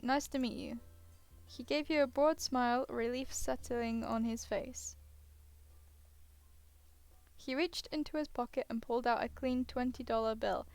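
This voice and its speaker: A woman gaming streamer reading a visual novel aloud, casually breaking off into hesitant asides and sounding tired. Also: fairly high pitch. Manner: hesitant, self-interrupting asides. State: tired, yawning.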